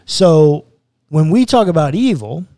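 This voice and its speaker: Dark-voiced pastor teaching earnestly. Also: male voice, a little high, lively intonation, a little slow, dry recording, studio quality. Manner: earnest, explaining step by step with rhetorical questions. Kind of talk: teaching Bible podcast conversation. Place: small studio room with bookshelves. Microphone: boom mic close up.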